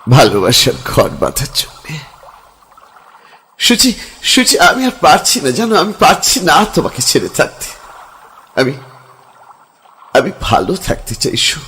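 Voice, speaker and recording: Man voicing a stammering young lover, lively pitch, slow halting delivery, music under. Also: a little hiss or hum, exaggerated voice. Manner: tender, hesitant, stammering. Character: young man reliving past life as lover. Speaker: actor. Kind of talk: Bengali radio drama acting.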